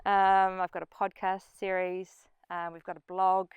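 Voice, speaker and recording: A woman's voice: mid-range voice, flat delivery, measured pace, clean tone, no room sound, phone-quality sound. A middle-aged woman with a New Zealand accent giving an informal short personal talk to a group. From New Zealand and Papua New Guinea. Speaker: speaker at a community event, farm sustainability coach.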